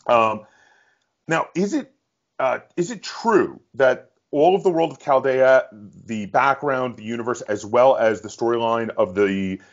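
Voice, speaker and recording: A man's voice: mid-range voice, highs cut off. A man hosting an interview, putting questions in a casual conversational style. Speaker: interview host.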